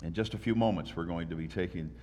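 A pastor with a congested voice from a sinus infection welcomes the congregation in church and leads them in prayer with earnest, steady delivery. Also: male voice, low-pitched, fast talker. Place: church sanctuary. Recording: long church-hall reverb.

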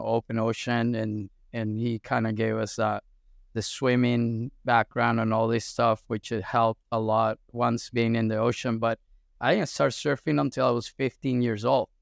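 A middle-aged man from Venezuela, a surf coach talking as a podcast guest in a relaxed storytelling way with many fillers. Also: mid-range voice, gravelly, no echo, clean studio sound. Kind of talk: casual interview talk.

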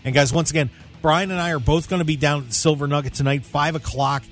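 A man's voice: a little high, fairly even intonation, fast talker, fairly bright tone, faint music behind. A man hosting a sports talk radio show in a casual, conversational way, addressing his listeners directly.

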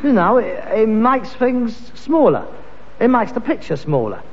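Pompous touchy london son in an old sitcom, highish voice, muffled echoey recording. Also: male voice, very animated, a little slow, breathy edge, exaggerated voice. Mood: blustering, defensive. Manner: pompous, blustering delivery. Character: pretentious, defensive, easily exasperated grown son in a sitcom. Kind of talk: vintage British sitcom acting.

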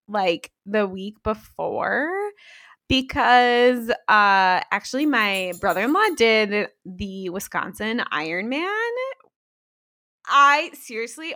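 A woman co-hosting a relaxed podcast, her voice a little hoarse. She talks in a rambling, chatty way with a bright tone and lots of up and down in her pitch. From Pennsylvania. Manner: rambling, many "like" fillers. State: slightly hoarse. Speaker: podcast co-host. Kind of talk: casual podcast chat.

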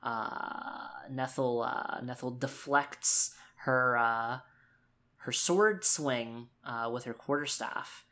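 A man's voice: medium pitch, slow, sharp tone. A man improvising narration as a tabletop game player, hesitant and often circling back over his phrases.

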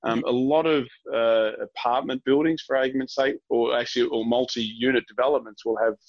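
A man's voice: medium pitch, fairly even intonation, a little fast, no echo, dull audio. An Australian man working as a real estate agent, explaining things conversationally as an interview guest.

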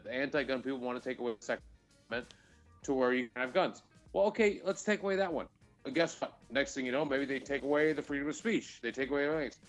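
A man co-hosting a casual talk show, giving his opinions in a blunt, emphatic way full of swearing. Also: medium pitch. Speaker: podcast co-host. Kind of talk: casual, heated opinion chat.

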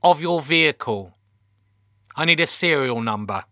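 Man putting on an officious, high teenage girl operator. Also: animated, a little slow, pushed and bright, no room sound, studio quality. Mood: prim. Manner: prim, scripted, unyielding.

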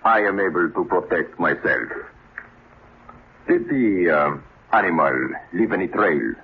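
An actor playing a courteous, secretive gentleman with a formal, measured manner in an old radio thriller. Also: deep voice, lively intonation. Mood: polite, guarded. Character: courteous, secretive, sinister gentleman living in isolation. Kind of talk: 1950s radio drama acting. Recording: tinny vintage radio recording, faint noise.

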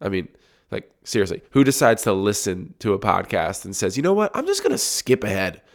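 Man, young adult, congested, deep resonant voice, reflective solo podcast talk, low pitch. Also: a little fast. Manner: relaxed, reflective, repeats words as he restarts. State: congested with a cold. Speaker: podcast host. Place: home recording room.

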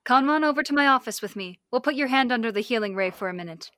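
A woman's voice: fairly high pitch, expressive, fast talker, pushed and bright, no echo, studio quality. A woman acting a firm, caring space lieutenant in an old-time radio drama. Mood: insistent, concerned.

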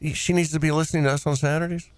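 A man's voice: a little high, flat delivery, racing, no room sound. A man hosting a radio show with casual, joking banter and a teasing manner, recorded in a studio.

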